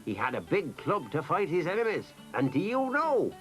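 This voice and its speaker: Old Irish storyteller: playful, lilting, very animated pitch, faint music bed. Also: male voice, normal speed. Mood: storytelling. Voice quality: lilting, playful, spins tall tales. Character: kindly teasing old Irishman, a donkey keeper. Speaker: storyteller character. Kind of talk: children's drama acting.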